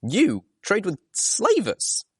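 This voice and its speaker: Man voicing an earnest, high-pitched young pony mare. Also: wildly swooping pitch, slow, breathy edge, no room sound, studio quality, exaggerated voice. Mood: disbelieving. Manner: astonished, naive questioning. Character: young female pony heroine, earnest and easily startled. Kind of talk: character voice in a storybook reading.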